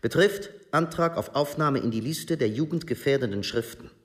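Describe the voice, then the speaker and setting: A man's voice: medium pitch, moderate pace. A man formally reading out an official document in a precise, bureaucratic manner.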